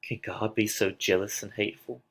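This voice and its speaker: A man's voice: lowish voice, steady tone, brisk, creaky, breathy edge. A man playing a lovesick young girl in a dramatic reading of a tragedy, declaiming with open emotion. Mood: anguished. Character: lovesick young girl, impatient, then anguished and grieving.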